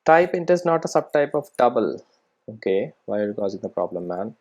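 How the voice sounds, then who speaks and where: Someone doing a coding walkthrough, mid-pitched voice, talks thru each step out loud, checks in a lot. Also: big pitch swings, brisk. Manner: thinks aloud, often checks with "right?" and "okay". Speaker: programming tutorial presenter. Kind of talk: live coding walkthrough, informal explanation.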